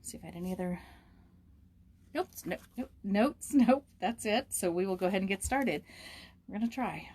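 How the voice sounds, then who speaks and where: Lady doing a read-aloud, mid pitch, storybook narration w/ casual little asides. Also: lively intonation, normal speed, pauses often, darkish tone, breathy edge. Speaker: storybook reader and host.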